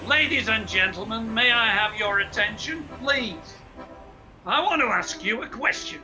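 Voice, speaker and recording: Radio play role: performer as slick, grandiloquent street hawker. Unhurried, highly animated delivery over music. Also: poor audio. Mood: slick, showy. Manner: grandiloquent showman's patter. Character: brash, slick street hawker of toothpaste and hair tonic. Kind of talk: theatrical radio play acting, sales patter.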